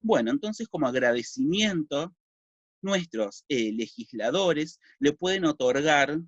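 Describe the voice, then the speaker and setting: A man's voice: fairly high pitch, animated, a little slow, no echo. A Uruguayan man teaching a recorded civics lesson, explaining step by step and asking and answering his own questions. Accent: Uruguayan Spanish. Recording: dull lo-fi dry recording.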